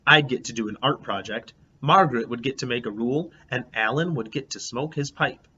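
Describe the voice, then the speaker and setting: A man reading a children's book aloud in an animated, expressive way, as a teacher would. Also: medium pitch, fairly quick. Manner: expressive, acts out dialogue. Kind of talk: animated storybook read-aloud. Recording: dull lo-fi, clear background noise.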